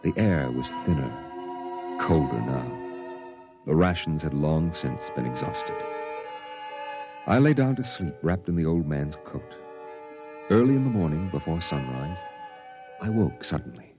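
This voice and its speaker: A man playing a somber storyteller recounting his boyhood on Mars, in measured, dramatic first-person narration for a vintage radio drama. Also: rumbling low, big pitch swings, exaggerated voice. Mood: somber, hushed. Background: dramatic music.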